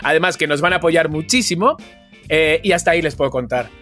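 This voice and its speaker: A man's voice: on the high side, animated, a little fast, sharp tone, soft background music. A man with a Mexican accent co-hosting a casual comedic podcast in a playful, campy, exclamatory way. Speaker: podcast co-host. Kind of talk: casual comedic banter among co-hosts.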